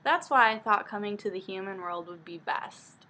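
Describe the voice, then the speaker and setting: A woman voicing a middle-aged father, measured and placating but nervously defensive, in a book reading. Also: mid-range voice, pushed and bright, lightly acted.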